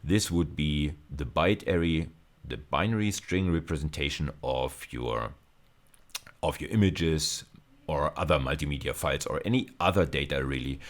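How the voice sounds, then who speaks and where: Very deep-voiced male lecturer. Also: moderate pace. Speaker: university lecturer. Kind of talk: explanatory video lecture.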